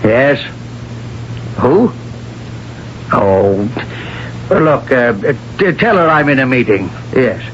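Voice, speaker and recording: An actor playing a jovial but evasive middle-aged construction boss who blusters and stammers through fillers, in a 1970s radio drama. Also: male voice, mid-range voice, sing-song, slow pace, long pauses. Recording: dull 1970s radio broadcast with faint hiss.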